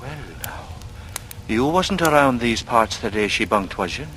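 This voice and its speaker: An actor in a vintage radio drama playing a vain, sly young charmer with an ingratiating, needling manner. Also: male voice, medium pitch, expressive, a little slow, pushed and bright. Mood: sly insinuation. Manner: ingratiating, needling, insinuating, colloquial. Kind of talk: 1940s radio acting. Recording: noisy vintage radio recording.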